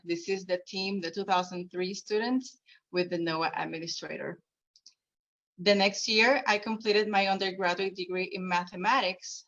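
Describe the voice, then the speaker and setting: Low-voiced woman from Puerto Rico, lo-fi. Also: a bit bright. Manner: earnest, personal storytelling with fillers. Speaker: keynote speaker. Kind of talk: conference keynote talk. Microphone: video call.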